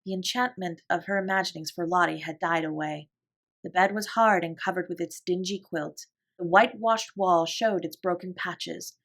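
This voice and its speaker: A woman narrating a classic children's novel as a bedtime story, in a calm, gentle, measured voice. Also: on the low side. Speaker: audiobook reader.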